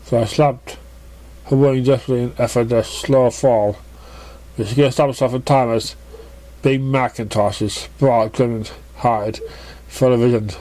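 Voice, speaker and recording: A man narrating a fan fiction story as an amateur reader, with halting, stumbling delivery. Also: medium pitch, fairly even intonation, dark tone.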